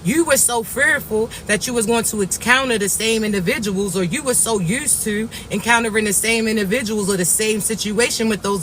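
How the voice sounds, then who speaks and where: A man with a raspy voice giving a slow, reassuring spiritual message to viewers, often checking in with "all right?". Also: sits high, pushed and bright, slight background noise. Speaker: spiritual reader.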